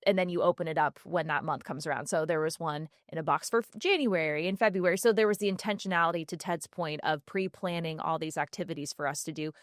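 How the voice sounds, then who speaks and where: A woman's voice: sits low, quick pace, hardly any pauses, dry recording, studio quality. A woman chatting casually as a panel member on a TV morning show. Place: TV studio.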